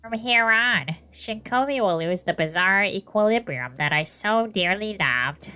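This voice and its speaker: A woman voicing a sly, theatrical male official in a visual novel, with playful mock politeness and drawn-out emphasis. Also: mid-range voice, expressive, bright, forceful tone, clear, resonant tone, pitched above the performer's own voice. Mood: gleeful taunting. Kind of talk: visual novel character reading.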